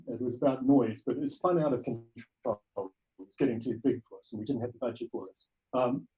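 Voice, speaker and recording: Husky-voiced New man, hesitant. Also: mid-range voice, a little mellow, low-quality recording. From New Zealand. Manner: hesitant, many ums, restarts. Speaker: documentary filmmaker guest. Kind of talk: casual interview over video call. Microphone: video call.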